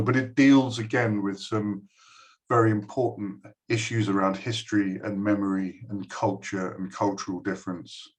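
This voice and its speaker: A middle-aged man with a fairly low voice. He speaks hesitantly to a school audience, filling gaps with ums and restarting his sentences. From United Kingdom. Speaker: guest speaker addressing a school. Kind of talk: recorded video address.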